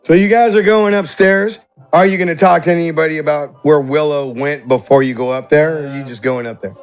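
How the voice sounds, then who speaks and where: A man's voice: fairly high pitch, fairly even intonation, fairly quick, clear, resonant tone, faint music behind. A man running a tabletop game as game master, narrating in a conversational, descriptive way.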